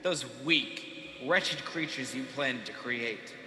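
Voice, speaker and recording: A man's voice: mid-range voice, sharp tone, a little hiss or hum. A man playing a bitter, vengeful fallen angel who schemes as king of demons, theatrical and menacing in a radio play. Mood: vengeful fury. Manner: theatrical, menacing, drawn-out emphasis. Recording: echoey, reverberant hall effect.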